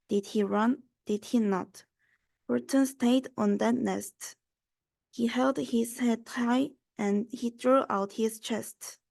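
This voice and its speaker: A woman's voice: on the high side, vocal fry, a little mellow, no echo. A young woman reading a children's rhyming story aloud. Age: young adult. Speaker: student reader.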